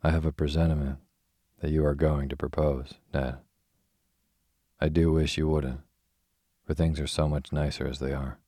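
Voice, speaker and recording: Man reading as a poised young woman, gently reproving. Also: a bass voice, little pitch movement, moderate pace, pauses often, no echo, clean studio sound. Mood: gentle reproof.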